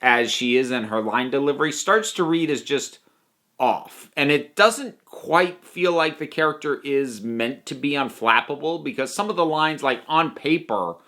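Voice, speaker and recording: Bright-voiced man giving an opinionated review. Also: fairly high pitch, animated, moderate pace. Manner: emphatic, opinionated, thinking aloud. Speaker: reviewer of audio dramas. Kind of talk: conversational review monologue.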